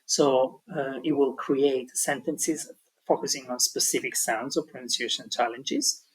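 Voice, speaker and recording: Husky man giving a hesitant tutorial. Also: mid-range voice, fairly even intonation, unhurried, rough recording. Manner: hesitant, many ums and restarts. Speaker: language teaching tutor. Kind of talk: explaining an online tutorial.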